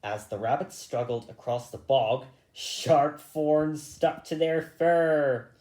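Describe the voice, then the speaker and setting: Slow-paced male storyteller in an echoey room. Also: fairly high pitch, darkish tone. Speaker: story reader. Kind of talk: children's storybook narration with asides.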